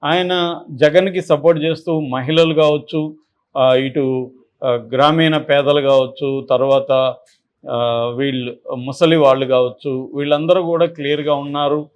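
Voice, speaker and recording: Man, slightly high pitch, political commentary, unscripted, explanatory, emphatic, repetitive. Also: steady tone, unhurried, pauses often, muffled recording. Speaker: political analyst on a news discussion channel.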